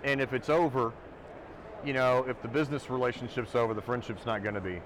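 A man's voice: medium pitch, steady tone, fast talker, background noise. A man hosting a podcast interview, rambling through his questions and swearing casually. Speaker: podcast host. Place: trade show booth, outdoors.

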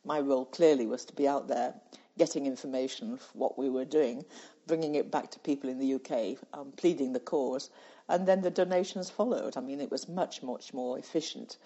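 A woman's voice: extremely low pitch, fairly quick, warm tone. An older woman from the UK, a guest in an interview, telling stories in a reflective way and laughing easily. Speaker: guest, former charity president. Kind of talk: conversational interview storytelling. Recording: slightly muffled, lo-fi audio.

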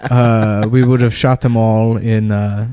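A man's voice: lowish voice, level tone, a little slow, warm tone, smooth, dry recording. A man in casual comic banter, rambling through sardonic riffs like a podcast host.

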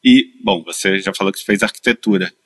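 A man's voice: sits low, brisk, a bit bright. A man hosting a podcast with a Brazilian Portuguese accent, reading an upbeat intro and then chatting casually with his guest in a studio. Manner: upbeat, chatty, laughs easily. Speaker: podcast host.

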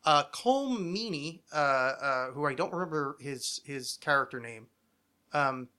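Guy in his 30s podcasting, kinda high bright voice, rambles and swears a lot. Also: animated, a little slow, smooth. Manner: rambling, digressive, freely profane. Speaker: podcast host. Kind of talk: casual chat about television.

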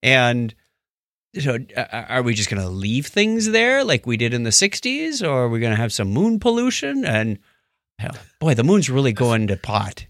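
A man's voice: mid-range voice, huge pitch swings, moderate pace, fairly bright tone, no room sound, clean studio sound. A man chatting casually about science news as a podcast co-host, with wry, joking asides.

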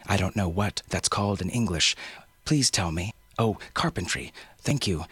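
A man's voice: deep voice, lively intonation, moderate pace, fairly bright tone, husky, no echo, full character voice. A man voicing a cheerful, chattering five-year-old island girl. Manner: eager, breathless chatter.

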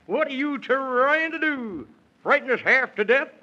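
A man playing an excitable, fretful storybook companion in a rhyming 1930s children's radio serial, theatrical and exclamatory. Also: very high voice, a little slow, exaggerated voice. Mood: flustered. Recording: noisy, muffled old 1930s radio recording.

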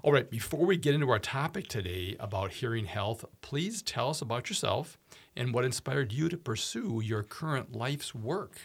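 A man hosting a radio talk show, reading introductions and phone numbers in a measured, announcer-like way. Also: mid-range voice, lively intonation, creaky, no room sound. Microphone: studio microphone.

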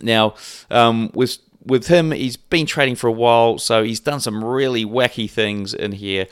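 A man's voice: medium pitch, measured pace, clear, resonant tone, no room sound, studio quality. A man hosting a podcast, chatting loosely with plenty of ums and restarts. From New Zealand. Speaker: podcast host. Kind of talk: casual interview chat.

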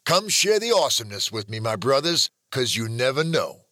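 A man playing a brash, cocky, crude surfer-dude stuntman in a comedic promo, with swaggering, slangy, drawn-out emphasis. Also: mid-range voice, sing-song, bright, forceful tone, no room sound, clean studio sound. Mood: boastful pitch. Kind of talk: comedic in-character audiobook promo.

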